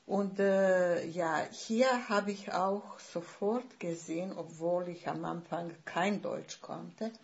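Bosnian-accented woman in her sixties, podcast guest, slow and halting, dull lo-fi sound. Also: fairly low pitch. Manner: hesitant, searching for words, many fillers. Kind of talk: podcast conversation.

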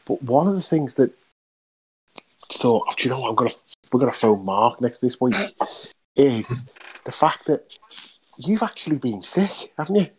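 A man's voice: medium pitch, lively intonation, moderate pace, long pauses, a little mellow, breathy edge, low-quality recording. A man hosting a podcast, chatting casually in a jokey, teasing, self-deprecating way. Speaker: podcast host. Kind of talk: casual chat.

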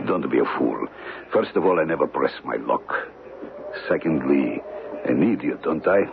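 A very deep, gravelly radio villain. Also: male voice, expressive, phone-quality sound, full character voice. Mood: mocking, menacing. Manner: formal, deliberate, mocking. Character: smooth, menacing, suspicious male villain, coolly condescending. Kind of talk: old-time radio drama villain acting. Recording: thin, noisy old radio broadcast.

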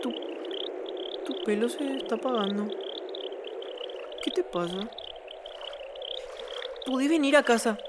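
A woman playing a timid, excitable, kind ten-year-old boy with a Paraguayan accent and hesitant speech in an audio drama. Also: fairly high pitch, slow pace, pauses often, very noisy. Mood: tentative, wondering. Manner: hesitant, stammering starts, expressive.

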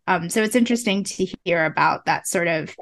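A woman's voice: on the low side, fairly quick, creaky, a bit bright. A woman interviewing a guest in a conversational podcast style, thinking aloud as she forms her questions.

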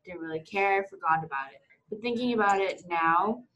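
A woman's voice: medium pitch, normal speed, a bit bright, rough recording. A young woman on a discussion panel, telling a personal story casually with many fillers. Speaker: panel guest.

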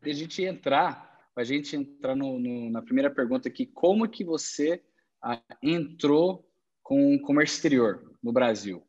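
A man's voice: medium pitch, expressive, unhurried, a little mellow, dull audio. A man interviewing a guest, asking questions and supplying words the guest is missing. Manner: prompting, helps with missing words.

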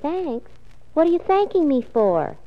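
A ditzy, fussy office girl, high-pitched chatter, muffled vintage radio sound. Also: sing-song, unhurried, full character voice. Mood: flustered, fussy. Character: scatterbrained, fussy young female secretary. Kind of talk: 1940s radio comedy-drama acting. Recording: muffled lo-fi 1940s radio recording.

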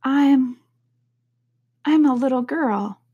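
A woman's voice: sits high, big pitch swings, measured pace, highs cut off, voice pushed up, full character voice. A woman voicing a polite, puzzled little girl in a storybook, earnestly wondering and protesting. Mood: doubtful. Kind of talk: storybook character voice.

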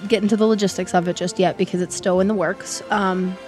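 A woman with a slightly raspy voice talks through podcast news in a relaxed, rambling way. Her pitch sits in the middle of the range. Faint music plays underneath her. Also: fast talker. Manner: chatty, filler-heavy, upbeat. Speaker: podcast co-host. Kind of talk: casual chat.

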